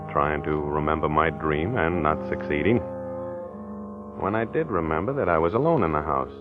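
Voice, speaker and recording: A low-pitched American man as a mild, befuddled husband in a vintage radio mystery. He speaks in a hesitant, deliberate, reflective way. The old recording is muffled, with music behind. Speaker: narrator and lead character. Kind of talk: 1940s radio acting, first-person narration.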